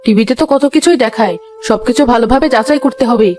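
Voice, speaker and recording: A woman's voice: fairly high pitch, fast, close to an everyday voice. A woman voicing a bossy, nosy, middle-aged mother-in-law in a cartoon, accusing and dramatic. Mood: suspicious. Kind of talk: animated comedy story acting. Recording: loud music behind the voice. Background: music.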